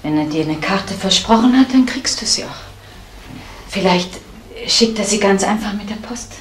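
An actress playing a concerned, soothing woman in a German radio crime drama, speaking in a hushed, caring way. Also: mid-range voice, animated, moderate pace, natural voice. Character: concerned, soothing woman at home late at night. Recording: faintly noisy old radio play recording.

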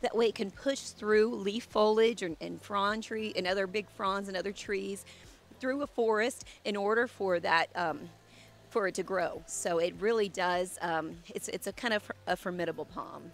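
A woman's voice: mid-range voice, moderate pace. A woman in her thirties, a landscape designer, giving an enthusiastic, chatty walkthrough of her garden outdoors on a handheld mic while losing her voice.

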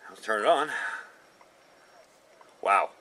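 A man's voice: fairly low pitch, lively intonation, takes it slowly. A man giving a casual, hands-on product demo in an informal, folksy, explanatory way. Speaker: video host, product reviewer. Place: outdoors in a gravel yard. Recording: outdoor camera recording with ambient noise.

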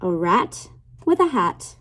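A woman in her twenties, a teacher reading a picture book aloud to young children in a deliberate, child-directed way. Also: mid-range voice, very animated, fairly bright tone, a little hiss or hum. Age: 20s. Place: small classroom, indoors.

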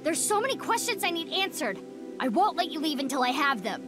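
A bossy teenage girl from a video game, high and very animated. She is blunt, demanding and snappy, with music playing clearly underneath. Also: brisk. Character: tough, bossy, sharp-tongued teenage girl.

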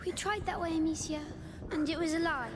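A woman voicing a solemn, ghostly young boy in a video game, speaking in a deliberate, cryptic and reproachful way. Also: very high voice, fairly even intonation, noisy background. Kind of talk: dramatic video game acting.

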